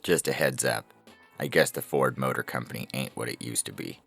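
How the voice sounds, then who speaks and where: An extremely deep, creaky male voice from an actor as a self-pitying slacker in an audio story. He grumbles in folksy, blame-shifting fashion, with a forceful edge and faint music underneath. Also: fairly quick, full character voice. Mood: aggrieved, self-justifying. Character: self-pitying, blame-shifting slacker man posting his grievances online. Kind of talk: scripted audio fiction reading.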